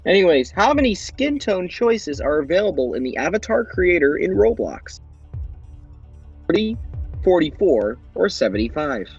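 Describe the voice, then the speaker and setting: A man's voice: high voice, big pitch swings, normal speed, breathy edge, dull audio, soft background music. A young man hosting a fan-made quiz show, reading out questions and answer choices in an upbeat quizmaster manner.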